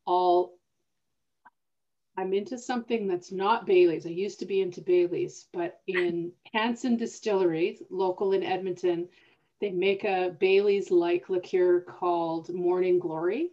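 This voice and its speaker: A woman's voice: on the low side, fairly even intonation, a little slow, warm tone, dull audio. A woman co-hosting a casual conversation, thinking aloud with small restarts. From Canada. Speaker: co-host. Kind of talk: casual conversation over video call.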